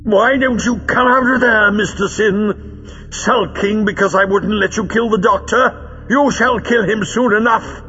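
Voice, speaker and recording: A man's voice: sits high, a little slow, pushed and bright, big-room sound, dull audio, slight background noise, pitched above the performer's own voice. A man voicing a cruel, theatrical male villain in an audiobook, imperious, menacing and declamatory. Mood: gloating. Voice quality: hoarse with rage. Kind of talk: dramatic audiobook character voice.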